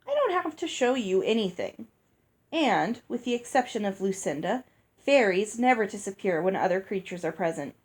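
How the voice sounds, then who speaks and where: A woman reading aloud and voicing a gruff older fairy woman who talks bluntly and scolds. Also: medium pitch, lively intonation, normal speed, close to an everyday voice. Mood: brusque. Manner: brusque, scolding. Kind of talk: read-aloud storybook dialogue.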